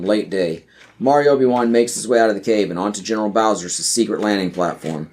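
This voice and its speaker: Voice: low-pitched, a little mellow. Scripted narrator reading stage directions. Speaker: podcast narrator.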